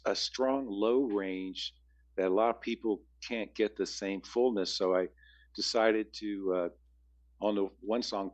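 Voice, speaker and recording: A man with a very low bass voice, answering interview questions as a musician guest, rambling with frequent restarts.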